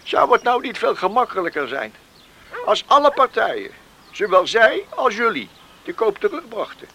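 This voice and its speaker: A man's voice: sits high, very animated, normal speed, sharp tone, full character voice. A man acting an eager, plain-spoken farmer in a Dutch radio play, talking emphatically and repeating words. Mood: earnest pleading. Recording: noisy radio play recording.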